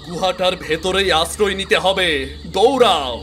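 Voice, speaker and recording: A slightly high-voiced woodcutter played by a male actor in an animated fairy tale. He is a humble, anxious, protective father, with faint music behind him. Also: normal speed. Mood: alarmed.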